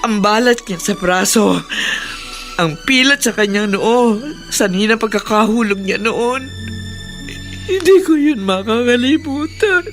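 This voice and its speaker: Troubled mother, slow pace, fearful, guilt-laden, radio drama, music in background. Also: a little high. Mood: shaken. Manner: fearful, slow, weighted delivery. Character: anxious, guilt-ridden mother who sold her child. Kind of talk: Tagalog radio drama acting. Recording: music behind studio drama voice.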